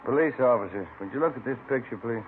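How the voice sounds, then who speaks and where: An actor playing a plain-spoken, grumbling police detective sidekick in a 1950s radio drama. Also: male voice, mid-range voice, fast, lightly acted. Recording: muffled, noisy old radio broadcast.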